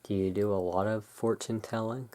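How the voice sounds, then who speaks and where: A soft-spoken ASMR performer playing the relaxed owner of a gothic curiosity shop in a soothing, unhurried manner. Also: deep voice.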